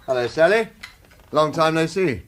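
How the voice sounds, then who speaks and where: A man's voice: on the high side, animated, moderate pace, slight background noise, natural voice. An actor with a British accent playing a dogged, wry man in trouble in a radio thriller, speaking in a clipped, insistent way. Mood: wry, casual. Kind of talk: radio drama acting with first-person narration.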